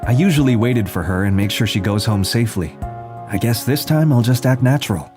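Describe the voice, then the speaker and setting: A man's voice: medium pitch, lively intonation, fairly quick, lightly acted. A man voicing an earnest teenage boy, a secret bodyguard posing as a meek student, in a manga dub. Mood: earnest, reflective. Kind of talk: anime-style manga dub, acted dialogue and narration. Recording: music behind the voice.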